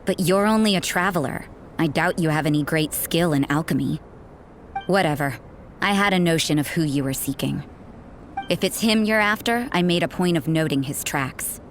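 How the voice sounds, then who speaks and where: Woman playing a low-voiced, sardonic aloof nun. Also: normal speed, slight background noise, lightly acted. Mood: cool, suspicious. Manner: deadpan, dry, sardonic. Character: aloof, cynical adult nun with a suspicious streak. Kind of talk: video game voice acting.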